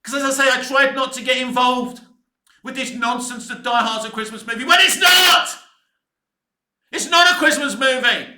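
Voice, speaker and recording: A man's voice: very high-pitched, moderate pace, pushed and bright. A British man in his fifties giving a casual, opinionated rant as a film vlogger, emphatic and exasperated, with mild swearing and repeated points. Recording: echoey, reverberant room.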